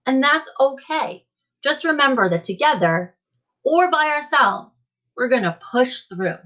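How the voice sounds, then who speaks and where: A woman's voice: fairly high pitch, fairly bright tone, poor audio. A woman in the role of a teacher reading a picture book aloud to children, speaking directly to them with emphatic encouragement.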